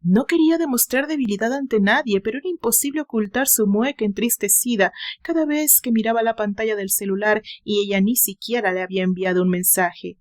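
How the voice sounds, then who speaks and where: A woman with a Mexican accent narrates fiction in a fairly high voice. She reads scripted prose dramatically, as a storyteller. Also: brisk, hardly any pauses. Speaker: author and narrator.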